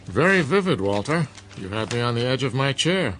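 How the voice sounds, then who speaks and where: Older academic for radio drama: mid-range voice, courteous, skeptical, quietly probing questions. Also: male voice, mid-range voice, very animated, moderate pace, a little hiss or hum. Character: inquisitive, skeptical older male chemistry professor, courteous. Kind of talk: modern radio drama acting.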